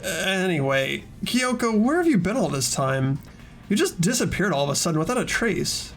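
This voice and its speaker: Amateur performer as young student protagonist, earnest, hesitant, animated intonation, visual novel fan dub, background music. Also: mid-range voice, moderate pace. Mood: puzzled. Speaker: voice actor in fan game playthrough. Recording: music under the voice.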